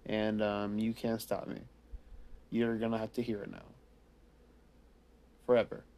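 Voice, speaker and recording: A young woman co-hosting a podcast in a loose, joking way. She teases her friend and pokes fun at herself. Her tone stays relaxed and offhand. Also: a bass voice, a little slow, vocal fry. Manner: joking, teasing, self-deprecating. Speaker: podcast co-host. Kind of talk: casual chat.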